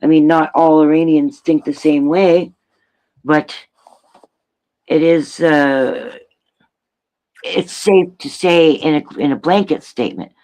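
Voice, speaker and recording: A man from Canada with a Canadian accent, chatting casually on a panel in a halting way, repeating phrases. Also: a little high, fairly even intonation, a little mellow. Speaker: panel participant.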